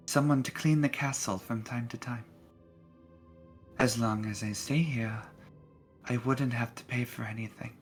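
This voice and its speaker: A man playing the ghost of a homeless elderly woman, telling things wistfully and wearily in a tabletop roleplaying game. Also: medium pitch. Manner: slow, addresses listener as dearie.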